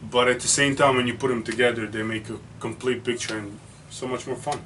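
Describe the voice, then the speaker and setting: A man's voice: medium pitch, steady tone, brisk, fairly bright tone. Casual co-host, a man in a mid-range voice who argues his points in order, recorded from a distance with faint noise. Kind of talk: casual movie review chat.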